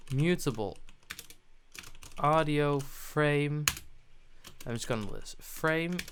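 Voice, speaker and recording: A man's voice: medium pitch, a little hiss or hum. A man presenting a programming tutorial, narrating his steps on screen as he goes.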